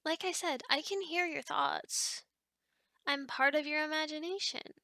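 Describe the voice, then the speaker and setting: A woman's voice: high-pitched, measured pace, sharp tone, dry recording, clean studio sound, heavily acted. A woman voicing a chatty, teasing female sleep paralysis demon in an ASMR roleplay, talking in a casual, rambling, hesitant way. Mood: matter-of-fact, chatty. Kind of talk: ASMR roleplay, one-sided conversation.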